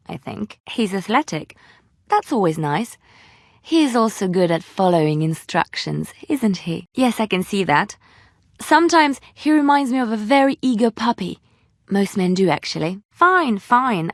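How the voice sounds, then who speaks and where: A woman voicing a romantic, teasing young adventuress in a video game, with soft, expressive, earnest phrasing. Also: mid-range voice, no room sound, studio quality. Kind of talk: video game character dialogue.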